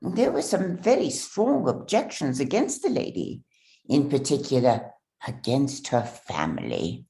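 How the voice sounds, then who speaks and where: A woman playing a discreet, courteous gentleman confidant in a period drama reading, speaking formally. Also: a bass voice, big pitch swings, a little slow, creaky, full character voice. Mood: earnest, discreet.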